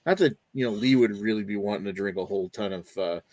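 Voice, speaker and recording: A man's voice: fairly low pitch, quick pace, a little mellow, no room sound. A man hosting a beer review panel in loose, casual chat, steering the talk and swearing now and then. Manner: loose, swearing, leads the show.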